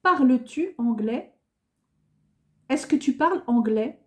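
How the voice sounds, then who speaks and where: A woman teaching a beginner French lesson, saying each useful phrase twice with careful, clear enunciation. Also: high-pitched, animated, slow, a little mellow. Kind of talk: beginner language lesson, repeating phrases slowly.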